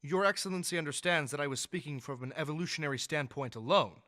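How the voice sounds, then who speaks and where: A man playing a dignified, deferential astronomer in a radio drama, speaking in a formal, measured, lecturing way. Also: a little high, pushed and bright, no echo, clean studio sound. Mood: respectful, careful. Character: dignified male astronomer, learned, earnest, deferential yet sure.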